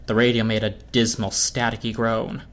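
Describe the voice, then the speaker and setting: A man's voice: lowish voice, monotone, moderate pace. A man reading a scripted story aloud as narrator. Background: orchestral film score.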